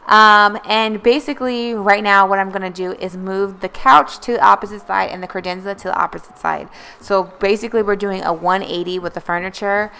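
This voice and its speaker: A woman's voice: medium pitch, steady tone, fairly quick, vocal fry, bright, forceful tone, smooth. A young woman vlogger talking casually and encouragingly in a voice-over about cleaning her home, with many fillers. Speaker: lifestyle vlogger.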